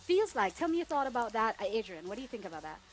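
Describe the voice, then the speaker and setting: A Jamaican woman hosting a TV studio chat, teasing her guests and steering the talk with quick questions. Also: on the high side, sing-song, soft background music. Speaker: talk show host.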